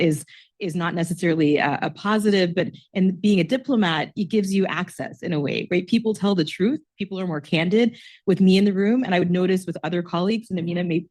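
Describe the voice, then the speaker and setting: A woman in her forties from Texas speaks on a discussion panel as a seasoned diplomat, in a slightly low voice. She talks quickly and reflectively and often pauses on fillers. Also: a little mellow. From Texas, United States. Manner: reflective, fast-talking with many fillers.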